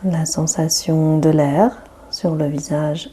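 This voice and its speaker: Very slow, mellow, breathy woman guiding relaxation. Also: sits low. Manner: calm, gentle, unhurried instruction with long pauses. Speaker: yoga nidra guide. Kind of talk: guided relaxation meditation.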